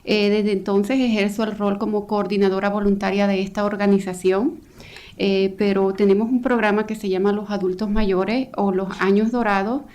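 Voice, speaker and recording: Woman, volunteer coordinator, fast earnest testimony with hesitant fillers. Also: medium pitch, little pitch movement, a little mellow. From El Salvador. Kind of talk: public testimony. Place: county council chamber.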